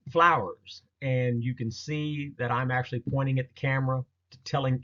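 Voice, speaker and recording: A man talking casually through a slideshow of his own experiences, in a halting, rambling, folksy way. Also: mid-range voice, a bit bright. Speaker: presenter recounting a field experience. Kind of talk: casual narrated slideshow storytelling. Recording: dull lo-fi audio.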